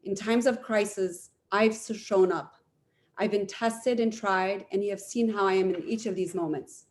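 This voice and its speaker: A woman running for mayor, giving a formal, prepared closing statement in an earnest, measured way with emphatic repetition. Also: medium pitch, fairly even intonation, darkish tone. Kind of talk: prepared campaign speech.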